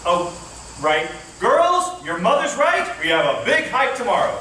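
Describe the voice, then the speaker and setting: Man acting a slow, high-voiced storytelling father, roomy hall. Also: sing-song, pushed and bright, heavily acted. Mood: playful, conceding. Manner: ominous, drawn-out, theatrical. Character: theatrical father telling a spooky campfire story. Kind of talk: live dramatic storytelling. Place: live show at a recreation hall. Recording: roomy, distant, faintly noisy live hall.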